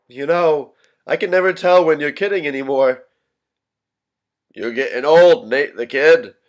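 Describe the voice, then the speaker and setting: Man acting a troubled, high-voiced young son. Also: natural voice. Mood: subdued, weary. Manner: halting, repetitive, switching tones of voice. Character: troubled young son losing his grip, pleading, echoing his vanished family. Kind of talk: staged reading of a stage drama.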